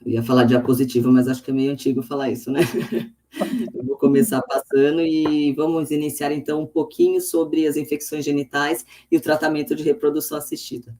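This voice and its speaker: A woman's voice: deep voice, little pitch movement, fairly quick, dark tone. A woman from São state, hosting an online medical livestream in a friendly, conversational way on a video call. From São Paulo state, Brazil. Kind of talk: hosting an online live talk.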